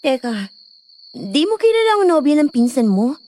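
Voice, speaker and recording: A woman's voice: high-pitched, big pitch swings, moderate pace, darkish tone, slight background noise. A woman playing a polite, easily embarrassed young woman in an audio drama. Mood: polite, friendly. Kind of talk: Filipino audio horror drama acting.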